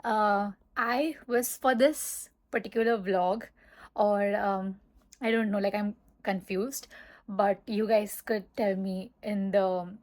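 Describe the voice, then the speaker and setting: A woman's voice: medium pitch, fairly even intonation, slow. Indian woman rambling casually to camera. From Mumbai, India. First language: Marathi. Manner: rambling with many fillers and restarts. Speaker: vlogger.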